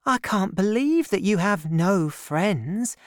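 A woman reading aloud and voicing a gentle, trusting young woman who talks in an eager, breathless way. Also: mid-range voice, lively intonation, takes it slowly, no echo, studio quality, voice pushed up. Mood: earnest.

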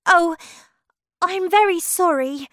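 A woman's voice: very high-pitched, slow, a bit bright, dry recording, clean studio sound, pitched above the performer's own voice, full character voice. A woman voicing a polite, curious young girl in a storybook, with an earnest, questioning manner.

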